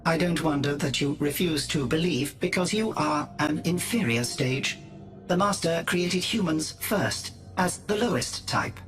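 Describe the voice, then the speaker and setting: An actor in a radio drama playing a self-assured robot prophet, coolly logical and devout, speaking in a formal, measured, preaching way. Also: male voice, fairly high pitch, fairly bright tone, faint music behind. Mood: devout, superior.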